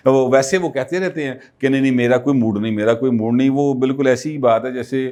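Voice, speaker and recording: Guy talking politics to camera, casual, hesitant fillers, average pitch. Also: mellow. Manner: conversational, many filler pauses. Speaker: political commentator. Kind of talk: solo political commentary.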